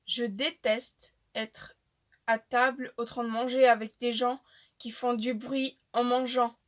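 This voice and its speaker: A young woman, chatting casually to the camera about herself with frequent filler pauses. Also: on the high side. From Portuguese-speaking family, raised in Switzerland. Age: young adult. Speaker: vlogger. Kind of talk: casual facts-about-me vlog.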